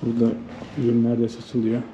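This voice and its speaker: A man's voice: mid-range voice, monotone, brisk, dull audio. A man chatting casually and enthusiastically to viewers as a travel vlogger walking outdoors. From Turkey. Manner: informal, enthusiastic, conversational. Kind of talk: casual chat to camera while walking around. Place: walking around an old town outdoors. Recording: clear background noise, outdoor street ambience.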